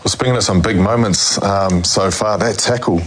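Laid-back guy asking questions, lowish voice, faint hiss. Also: moderate pace. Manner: easygoing, joking. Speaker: interviewer. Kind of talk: casual interview.

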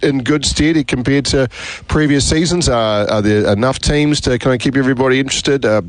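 A man's voice: medium pitch, no echo. A man with a New Zealand accent hosting a sports radio show, chummy and upbeat with his guest.

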